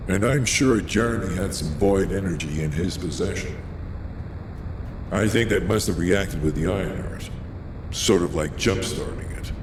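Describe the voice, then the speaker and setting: A man voicing a grave male analyst who explains things deliberately. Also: low-pitched, vocal fry. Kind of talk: video game cutscene voice acting. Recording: echoey hall with noise.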